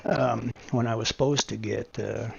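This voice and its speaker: A middle-aged man with a deep voice chatting on his stream, rambling and preachy, hammering his points home. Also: steady tone, normal speed, vocal fry, noisy background. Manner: preachy, rambling, emphatic when making a point. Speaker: livestreamer. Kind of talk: casual chat while gaming on stream. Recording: dull lo-fi stream audio.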